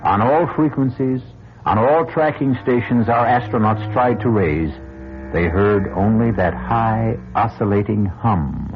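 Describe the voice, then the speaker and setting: An actor playing a macabre storyteller host, narrating an old radio mystery in a theatrical, ominous way with deliberate phrasing. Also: male voice, fairly low pitch, a little hiss or hum. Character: macabre male storyteller host relishing doom. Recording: muffled old radio broadcast.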